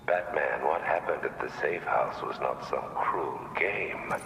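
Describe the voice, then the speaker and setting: Cold evil mastermind, super deep, echoey, grand ominous speechy delivery, video game villain. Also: male voice, measured pace, music in the background. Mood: cold, menacing. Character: cold, menacing male mastermind villain preaching doom. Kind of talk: video game cutscene acting. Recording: echoing distant broadcast over loud noise.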